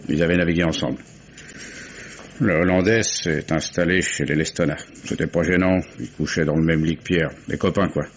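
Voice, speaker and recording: A gruff old seaside fisherman, played by a man in a vintage radio play, guarded and grumbling as he talks. His voice sits very low and deep. The sound is dull and muffled, with a faint hiss behind it. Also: steady tone, normal speed. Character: gruff old oyster fisherman Pascal, reluctant witness. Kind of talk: 1950s French radio drama acting.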